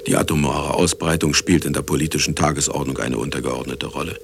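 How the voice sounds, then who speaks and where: Radio drama casting: jaded older male scientist, deep and creaky, wry, dismissive, reflective, music underneath. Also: steady tone, fast, noisy background. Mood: wry, resigned. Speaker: actor and first-person narrator in a radio play. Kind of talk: radio play acting, narrating to the listener. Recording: studio radio play with music behind.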